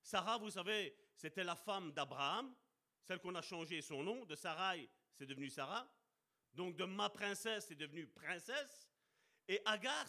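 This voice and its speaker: A man preaching a sermon with passion, slowing down to stress key words and calling out to his brothers and sisters. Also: high voice, sharp tone. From Italian heritage. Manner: impassioned, addresses congregation as brother and sister.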